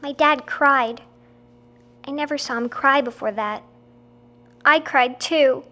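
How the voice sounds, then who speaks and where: A woman's voice: high-pitched, slow pace, pushed and bright, heavily acted. An actress playing a nine-year-old girl who chats earnestly and openly in a childlike way.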